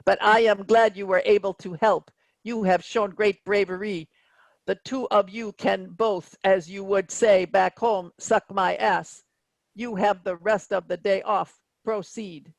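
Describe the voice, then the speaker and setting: Actor doing bossy deadpan starship computer, formal, lots of fry, super dry audio. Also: medium pitch, a little slow, dry recording, low-quality recording. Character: stern, sardonic autopilot captain computer of a spaceship. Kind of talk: virtual staged reading of a sci-fi comedy.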